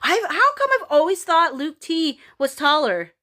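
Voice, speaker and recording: A woman's voice: sits high, very animated, a little slow, sharp tone. Chatty woman with a Filipino accent. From Philippines. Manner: rambling, many fillers and restarts, reads out viewer comments. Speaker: livestream host reading chat. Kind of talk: casual livestream chat.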